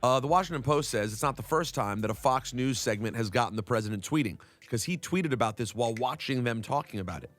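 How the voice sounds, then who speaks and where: A man's voice: mid-range voice, expressive, fast talker, few pauses, fairly bright tone, faint music behind. Opinionated male radio co-host. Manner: opinionated, reads news aloud with commentary. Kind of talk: casual radio talk.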